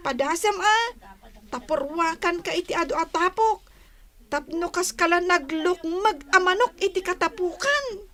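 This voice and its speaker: Feisty, very high-voiced young girl. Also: unhurried, a bit bright. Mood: taunting. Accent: Ilocano. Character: feisty young girl, vengeful and sharp-tongued. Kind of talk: radio drama acting.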